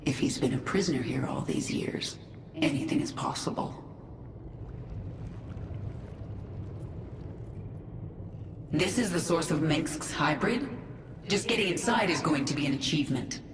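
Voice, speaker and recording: A commanding alien swarm queen in a video game, stern and resolute. Her voice is very low and echoes from a distance, with noise around it. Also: animated, normal speed. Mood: curious, probing. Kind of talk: video game cinematic acting. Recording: echoing, distant, clearly noisy game audio.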